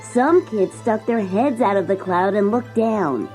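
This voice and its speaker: A woman narrating a scripted children's story in an expressive storytelling manner. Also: mid-range voice, measured pace. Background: music.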